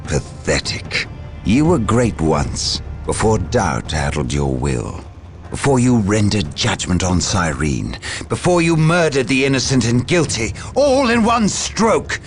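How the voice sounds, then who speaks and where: A man's voice: on the low side, very animated, unhurried, faint music behind. A man playing a grandiose, power-hungry traitor warrior in a video game, with theatrical delivery. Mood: scornful outrage. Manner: theatrical, swinging from menace to outrage. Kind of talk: dramatic video game villain acting.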